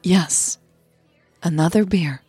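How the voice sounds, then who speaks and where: A woman voicing a shy, happy woman in love in an audio drama, softly and a little hesitantly. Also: fairly low pitch, takes it slowly, breathy edge, no echo, faint music behind, heavily acted. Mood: bemused.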